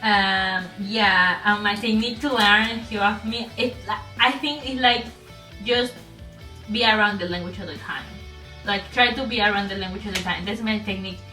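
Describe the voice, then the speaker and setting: A woman's voice: mid-range voice, moderate pace, pushed and bright. A woman vlogger with a strong non-native English accent, talking casually to camera, rambling with many fillers and restarts and laughing often. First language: not English. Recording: echoey room, voice a bit far from mic, faint music.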